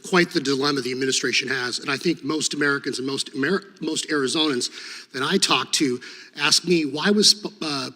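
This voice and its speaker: An earnest man testifying before a congressional committee, a former state attorney general who grew up speaking Serbo-Croatian. His voice is bright and forceful, slightly higher than most men's. It carries long reverb from a large hearing room through a desk microphone. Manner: earnest, many fillers and restarts.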